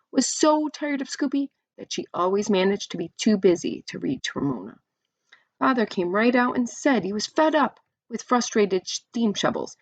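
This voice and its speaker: Animated woman reading a storybook, creaky voice. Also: mid-range voice, dry recording, lo-fi sound. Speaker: teacher reading aloud to children. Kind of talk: expressive children's storybook read-aloud.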